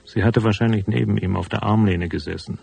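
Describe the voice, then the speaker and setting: An actor playing a tough, cynical private detective in a noir radio drama, speaking in a laconic, unsentimental manner. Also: male voice, lowish voice, steady tone, fairly quick, creaky, darkish tone, husky, no room sound, faint music behind, full character voice. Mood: brooding, matter-of-fact. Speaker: narrator and lead character.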